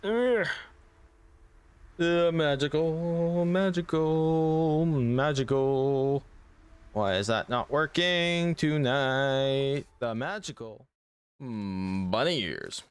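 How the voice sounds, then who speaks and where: Smooth-voiced streamer losing his voice. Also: on the high side, extremely slow. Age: adult. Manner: chatty, reads chat aloud. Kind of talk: casual game stream chat.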